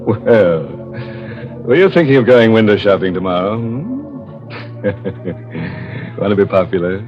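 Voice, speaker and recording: An actor playing a macabre, sardonic storyteller in 1940s radio horror, insinuating and mock-sympathetic. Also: male voice, lowish voice, lively intonation, takes it slowly. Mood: gleefully ghoulish. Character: macabre, sardonic male storyteller, gleefully ghoulish. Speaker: narrator and host of a thriller series. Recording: muffled vintage radio recording with loud music.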